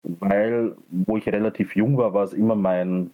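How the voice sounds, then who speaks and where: A man's voice: fairly low pitch, steady tone, normal speed, phone-quality sound. A middle-aged man from Austria with an Austrian accent, chatting casually as a podcast guest, full of fillers and restarts. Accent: Austrian German. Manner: rambling, many fillers and self-corrections. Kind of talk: casual interview talk.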